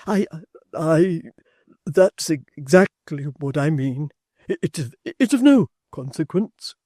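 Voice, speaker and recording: A man voicing a bashful, flustered young gentleman who stammers, in a storybook reading. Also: fairly high pitch, lively intonation, slow, no echo, studio quality. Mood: awkward.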